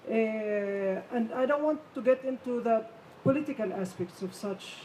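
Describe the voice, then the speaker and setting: A Palestinian woman lawyer speaking on a conference panel, halting with many fillers but firm and emphatic. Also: fairly high pitch, dark tone. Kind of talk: panel discussion remarks. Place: conference hall. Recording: distant mic, faint room noise.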